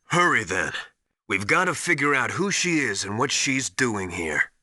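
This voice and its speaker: A stern military mentor for a video game. He has a middling pitch that moves a lot, and a sharp, forceful tone. He sounds insistent, deliberate and full of suspicion. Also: very animated, no room sound, clean studio sound, exaggerated voice. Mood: stern, accusing. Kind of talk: dramatic video game voice acting.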